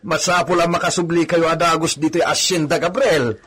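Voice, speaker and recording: A man acting a loyal, nervous servant in a radio drama, husky and hesitantly deferential. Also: on the high side, fairly even intonation, brisk, fairly bright tone.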